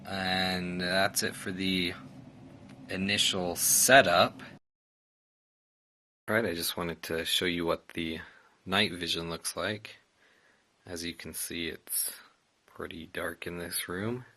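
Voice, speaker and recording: A man's voice: low-pitched, steady tone, takes it slowly, long pauses, sharp tone. A man giving a casual, matter-of-fact, step-by-step tutorial as a product reviewer. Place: indoors in a room. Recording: clear background noise, room ambience.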